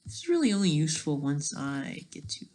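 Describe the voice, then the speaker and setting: A person thinking aloud in a casual, digressive commentary over study notes, halting often and talking in an informal, self-deprecating way. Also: medium pitch, big pitch swings, noisy background. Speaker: solo online commentator.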